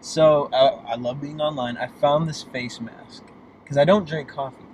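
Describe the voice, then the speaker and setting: A man in his late twenties from Boston chatting casually and candidly as a guest in a car, with emphatic, expressive delivery. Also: a little high, unhurried, rough recording. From Boston, United States. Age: late 20s. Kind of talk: casual chat. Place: inside a moving car. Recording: clear vehicle road noise. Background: car driving noise.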